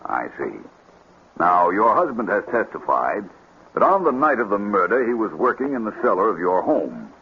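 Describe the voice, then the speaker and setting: An actor playing a formal, probing courtroom prosecutor who questions precisely, in 1950s radio drama style. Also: male voice, on the low side, very animated, moderate pace, breathy edge, exaggerated voice. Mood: pointed. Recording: muffled, noisy vintage radio recording.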